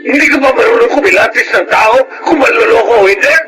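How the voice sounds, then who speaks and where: A man's voice: extremely high pitch, fast talker, over loud music, poor audio. An actor playing a furious, strict older father in a radio drama, scolding with explosive emphasis. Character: furious, strict older father shamed by his pregnant daughter. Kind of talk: Tagalog radio drama acting.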